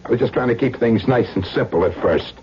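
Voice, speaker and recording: A man's voice: medium pitch, fast, darkish tone, slight background noise, lightly acted. An actor playing a hardened, desperate ex-convict who talks in menacing tough-guy slang in a radio thriller. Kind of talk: old-time radio thriller acting. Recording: dull vintage radio recording.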